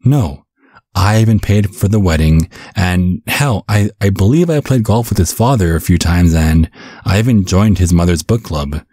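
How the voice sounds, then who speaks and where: Man as a deep-voiced, gentle vampire dad. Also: moderate pace, no echo, clean studio sound. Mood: lighthearted, puzzled. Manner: gentle, stammering, chuckling reassurance. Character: caring, bumbling vampire father, looks twenty-five, over a century old. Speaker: ASMR roleplay performer. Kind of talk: ASMR audio roleplay.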